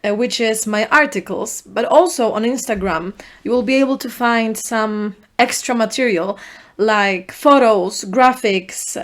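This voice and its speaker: A young woman with a Polish accent, a native Polish speaker, hosting a solo podcast in an earnest, reflective way, speaking in short halting phrases. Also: fairly high pitch. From Poland. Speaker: podcast host.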